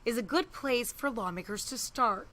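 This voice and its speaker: A woman's voice: a little high, normal speed, bright, forceful tone. An American woman reporter reading scripted narration for a television news package.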